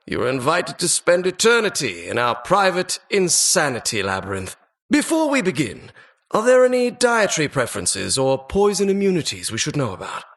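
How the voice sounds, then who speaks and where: A man's voice: on the high side, a bit bright, exaggerated voice. A man voicing a talking dungeon as a pompous, mock-polite, sardonic butler-like host in a video game. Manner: pompous, mock-polite, arch formal phrasing. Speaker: game announcer. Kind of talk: theatrical video game voice-over.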